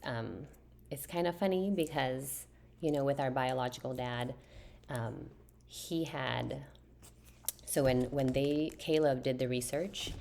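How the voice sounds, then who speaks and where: Very low-pitched, gravelly woman vlogger. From Philippines. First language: a Philippine language. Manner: soft-spoken, hesitant with many fillers and restarts. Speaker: vlogger answering viewer questions. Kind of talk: casual chat to camera.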